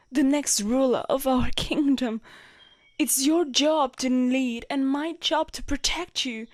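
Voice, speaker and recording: Casting: woman to play devoted female knight in a romance audio drama. Higher-pitched, unhurried delivery, hesitant and lovestruck. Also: no room sound. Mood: pained, conflicted. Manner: hesitant, tender, breathy whispers at times. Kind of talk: intimate romantic audio roleplay.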